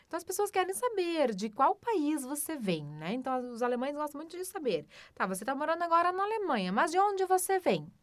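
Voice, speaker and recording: A woman with a Brazilian Portuguese accent teaching a German lesson. She explains patiently, often adds tag questions and slows down for the German examples. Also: fairly high pitch, dry recording. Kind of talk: language lesson.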